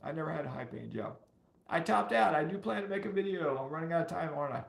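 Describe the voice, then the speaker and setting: A man in his fifties speaks in a fairly high-set voice with a creaky, slightly hoarse edge. He chats casually as a livestream host, repeating key points for emphasis. The room adds a noticeable echo around him. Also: lively intonation, fast talker. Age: 50s. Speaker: personal finance livestream host. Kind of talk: casual livestream chat answering viewers. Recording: roomy, echoey sound.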